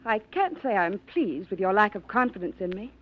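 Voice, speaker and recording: Anxious, clipped wealthy woman, lively pitch, 1940s radio play, dull old recording. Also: normal speed. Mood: tense. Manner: tense, insistent, clipped. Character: anxious wealthy woman doubting her brother.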